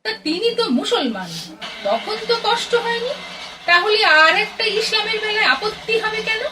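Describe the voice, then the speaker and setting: A woman's voice: extremely high pitch, sing-song, moderate pace. A woman playing an affectionate, teasing older mother in a radio play, scolding and coaxing in short colloquial bursts. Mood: reassuring, musing. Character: affectionate, teasing, plain-spoken older mother of a grown son. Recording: distant mic, very noisy audio.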